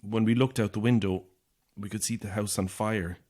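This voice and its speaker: A man's voice: lowish voice, steady tone, normal speed, darkish tone, lightly acted. An actor playing an anxious, isolated young Irish man, edgy and sardonic, in a naturalistic audio horror drama. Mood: defensive, flustered.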